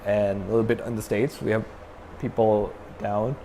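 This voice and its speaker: A man's voice: lowish voice, moderate pace, big-room sound, slight background noise. A young man from Sri Lanka, a metal band frontman, chatting casually as an interview guest with lots of fillers and restarts. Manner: relaxed, many fillers and restarts.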